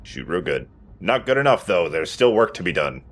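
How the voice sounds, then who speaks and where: Low-pitched man with lively, swinging delivery. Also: normal speed. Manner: joking, profane. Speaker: let's play host and player. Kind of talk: casual game commentary.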